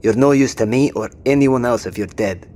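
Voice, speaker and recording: A man playing a practical survivor who coaxes a wounded companion, steady and level-headed. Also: mid-range voice, moderate pace, natural voice. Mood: coaxing. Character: dry, practical British male survivor companion. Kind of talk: audio drama acting.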